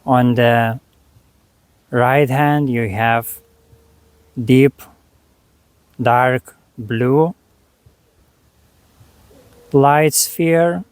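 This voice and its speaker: Guy doing guided meditation, super slow, big gaps between little phrases, dry sound no room echo. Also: medium pitch, clear, resonant tone. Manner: unhurried, short phrases with long pauses. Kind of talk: guided meditation, spoken slowly to the listener.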